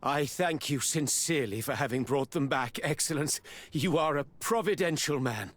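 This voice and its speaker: An actor playing a grand colonial governor in a fantasy game, speaking with formal, effusive courtesy. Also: male voice, fairly high pitch, normal speed, fairly bright tone. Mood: gracious. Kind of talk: scripted game cutscene acting.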